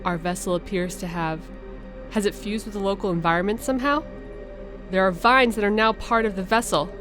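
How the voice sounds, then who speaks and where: Woman voicing a desperate alien crew member's distress message, urgent, halting, over faint music. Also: medium pitch, fairly bright tone, natural voice. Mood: urgent pleading.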